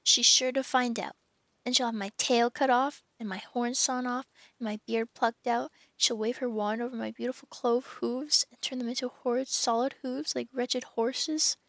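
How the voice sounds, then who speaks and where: A timid storybook faun, read by a woman, fretful and full of guilt. The voice is earnest and fairly high, with creak at its edges. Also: steady tone, normal speed, fairly bright tone, no echo. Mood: frightened, tearful.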